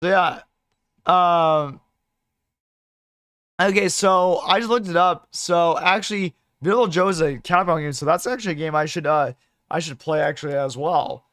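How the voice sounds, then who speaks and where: Slightly hoarse, higher-pitched man livestreaming. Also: fairly bright tone, no echo, studio quality. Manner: halting, repeats and restarts phrases. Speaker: livestream host, gamer. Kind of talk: casual livestream chat.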